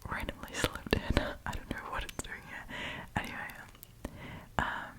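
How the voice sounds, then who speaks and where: Woman whispering softly, ASMR storytime. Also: a little slow. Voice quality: breathy unvoiced whisper. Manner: chatty, informal asides. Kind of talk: whispered ASMR, reading online stories aloud with casual commentary. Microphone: close propped-up microphone.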